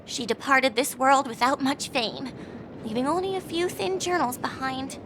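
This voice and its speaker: Woman playing an eager high-voiced young scholar. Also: normal speed, noisy background, close to an everyday voice. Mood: earnest, wistful. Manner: enthusiastic, formal, expository. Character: earnest, eager young female scholar devoted to her idol. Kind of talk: video game voice acting.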